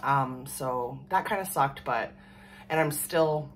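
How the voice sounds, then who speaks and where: Woman, low voice, hoarse, chatty and rambling, home vlog, faint room hum. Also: slow pace. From Canada. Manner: rambling, chatty, laughs often. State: hoarse from a cold. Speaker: vlogger. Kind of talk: casual chat to camera. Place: small room at home, indoors. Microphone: camera in front at desk distance.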